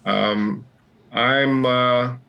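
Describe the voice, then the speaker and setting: A man in his sixties, a pastor, speaking haltingly with many fillers as an interview guest. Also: medium pitch, fairly even intonation, vocal fry, muffled recording. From Barbados and England. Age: 60s. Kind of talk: casual interview.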